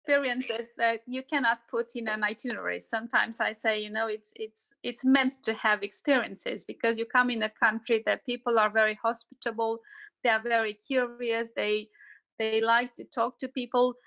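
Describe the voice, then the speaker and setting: A woman's voice: a little high, steady tone, moderate pace, vocal fry, a bit bright, highs cut off. A woman with a Romanian accent chatting casually as an interview guest, searching for words as she goes. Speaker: podcast guest, tour operator.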